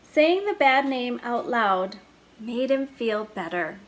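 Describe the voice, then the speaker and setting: A woman storyteller reading a children's picture book aloud in a slow, deliberate way. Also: fairly high pitch, very animated.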